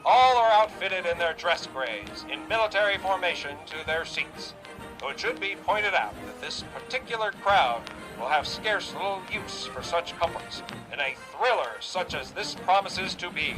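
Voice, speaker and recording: An actor playing an excitable 1920s radio play-by-play announcer in a grandiose, formal showman style. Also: high-pitched, moderate pace, music in the background, poor audio. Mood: excited, grand. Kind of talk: 1920s radio sports commentary acting.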